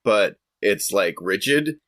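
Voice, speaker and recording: A man's voice: on the low side, lively intonation, takes it slowly, fairly bright tone, no room sound. A man casually narrating a scene as a player in a tabletop role-playing podcast.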